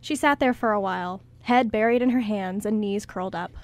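A woman's voice: mid-range voice, brisk. A woman reading scripted story narration as the narrator of a radio play.

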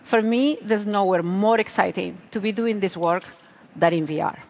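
Deliberate woman presenting a tech keynote. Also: mid-range voice, vocal fry, darkish tone. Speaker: product manager presenting. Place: large auditorium stage.

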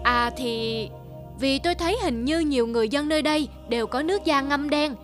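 An arrogant envoy from a mighty country in a cartoon folktale, acted by a woman. He is haughty and mocking, high in pitch, with music behind him. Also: a little fast, close to an everyday voice. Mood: slyly mocking.

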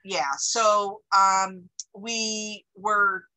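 A woman's voice: medium pitch, little pitch movement, drawn-out words, pushed and bright, rough recording. A woman library director, giving a chatty report on a video call with many fillers and restarts.

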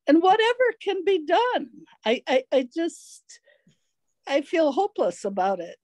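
A woman's voice: high voice, big pitch swings, unhurried, darkish tone, dry recording. An older woman on a video call asking an earnest, musing question from home. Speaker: audience member asking a question. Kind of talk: casual discussion.

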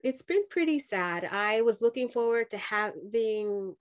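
A woman's voice: mid-range voice, expressive, measured pace. Earnest woman on a video call. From Mexico. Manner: earnest, reflective, many fillers and restarts. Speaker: nurse-midwife guest. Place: home room with dark sofa. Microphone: laptop video call.

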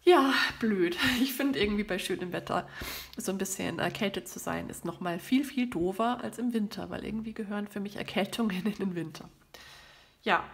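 A woman with a summer cold, gravelly. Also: medium pitch. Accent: southern German. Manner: chatty, thinking aloud over numbers. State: has a cold with a stuffy nose. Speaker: budgeting channel host. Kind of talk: casual talk while doing a monthly budget. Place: at a desk indoors, hands over a planner.

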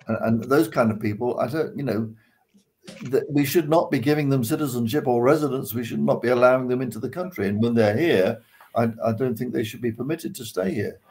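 An older man with a British accent, speaking haltingly as a panelist in a casual discussion on a video call. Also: mid-range voice, darkish tone. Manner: hesitant, halting delivery. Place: room at home.

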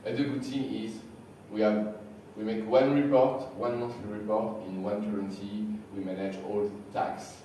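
A man's voice: fairly low pitch, flat delivery, mellow, distant mic, a little hiss or hum. French-accented man, echoey lecture hall. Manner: halting, repeats words. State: slightly hoarse from illness. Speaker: conference speaker. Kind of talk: conference talk. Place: large lecture room. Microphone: handheld mic, recorded from far back.

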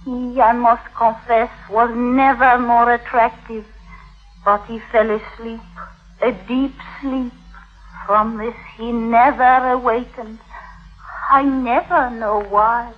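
A muffled vintage radio recording of a devoted, accused widow. She speaks very slowly in a highish voice, formal and composed, like a confession in a 1950s crime drama. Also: fairly even intonation. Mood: solemn, wistful.

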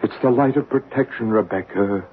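A man playing a calm, authoritative psychic ghost hunter in a radio drama, probing and soothing in a measured way. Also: on the low side, lightly acted. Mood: soothing, commanding. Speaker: radio actor. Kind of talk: 1970s radio drama acting. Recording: muffled, noisy 1970s radio broadcast.

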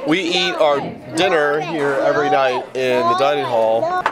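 A man in his thirties from Texas, chatting casually to a handheld camera outdoors on a farm, relaxed and reflective. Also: a little high, moderate pace, over loud music, a loud crowd. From Texas, USA. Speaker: vlogger. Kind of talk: casual vlog talk to camera. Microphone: camera held at arm's length.

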